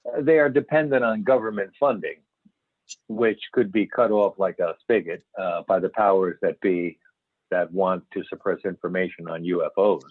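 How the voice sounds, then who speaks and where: A man playing an earnest, assured UFO scientist in an amateur script reading, explaining emphatically with some halting stumbles. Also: low voice, breathy edge. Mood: firm, insistent. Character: male expert scientist investigating UFO reports, earnest and assured. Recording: tinny phone-like conference call, echoey room.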